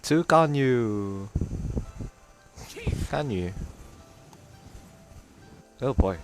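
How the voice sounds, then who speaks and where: Animated man doing wry game commentary. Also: mid-range voice, extremely slow, music in the background. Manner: wry asides, reads game text aloud. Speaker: streamer playing through a game. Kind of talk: casual live game commentary.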